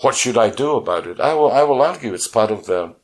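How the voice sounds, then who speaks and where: A man's voice: medium pitch, animated, fast, darkish tone. A middle-aged man from Scotland who teaches languages, co-hosting a podcast, talking casually and hesitantly. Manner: hesitant, repeats words when starting. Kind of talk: casual conversational chat.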